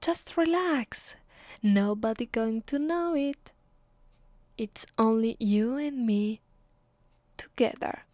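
A woman with an Italian accent playing an affectionate, playful vampire girlfriend in an ASMR roleplay, speaking softly, intimately and teasingly. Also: fairly high pitch, slow, pauses often, no room sound. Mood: playful, caring. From Italy. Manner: soft, tender, teasing, intimate. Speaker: voice actress.

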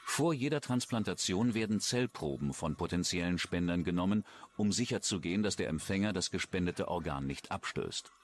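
A man's voice: low voice, expressive, a little fast, a little hiss or hum. A man with a standard German accent reading scripted true-crime documentary narration.

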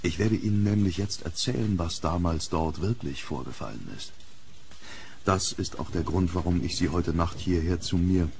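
A noisy horror radio play recording with ambience behind the voice. A man plays a deep-voiced, famous gentleman explorer. He is formal and courteous, with unease under the deliberate politeness. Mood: grave, confiding. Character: rich, famous, courteous but uneasy English gentleman archaeologist. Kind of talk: German horror audio drama acting.

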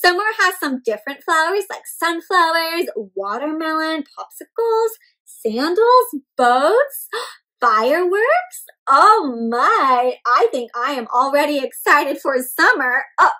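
A young woman hosting an interactive picture-book read-aloud for small children, expressive and animated, asking them questions as she goes. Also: sits high, takes it slowly, rough recording. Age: young adult. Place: indoor room at home with plants and bookshelf.

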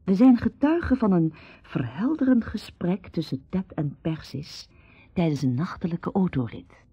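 A woman narrating a radio drama from a script, with a husky, mellow voice. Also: lowish voice, lively intonation, moderate pace. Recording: dull radio recording, roomless and dry.

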